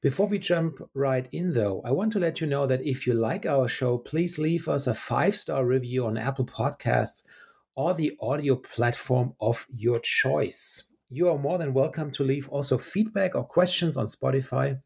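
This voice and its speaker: Husky man hosting a podcast. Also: mid-range voice, moderate pace, a little mellow. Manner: upbeat, reading an introduction. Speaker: podcast host. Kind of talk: podcast interview intro.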